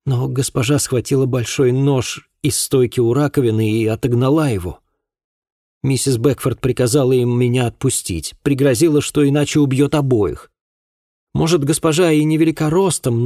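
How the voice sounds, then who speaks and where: A man voicing a distressed young housemaid in an audiobook, speaking in a halting, plaintive, anxious way. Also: mid-range voice, dark tone, dry recording, studio quality, voice pushed up, close to an everyday voice. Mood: agitated, aggrieved. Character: distressed young housemaid woman recounting an assault. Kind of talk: acted audiobook dialogue.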